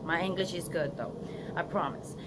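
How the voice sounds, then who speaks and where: A young woman with a Spanish accent talks straight to her phone outdoors, chatty and earnest, stumbling through ums and restarts as she gives advice. Her voice sits a little low, and steady outdoor noise is clearly heard behind her. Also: normal speed. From Florida, United States. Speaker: vlogger. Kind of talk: casual unedited vlog.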